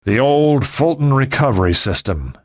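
A man's voice: mid-range voice, lively intonation, normal speed, dry recording, pitched above the performer's own voice, natural voice. A confident adventurer boss, acted by a male narrator in an audiobook thriller. He is terse and wry, matter-of-fact yet strongly inflected, heard in a dry studio sound.

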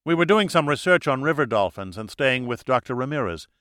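Audiobook heroine, read by a man: capable American woman, bright crisp delivery, teasing and careful. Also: medium pitch, expressive, brisk, no echo, studio quality, voice pushed up, natural voice. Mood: polite, explaining. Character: wry, capable, cautious American wife and scientist.

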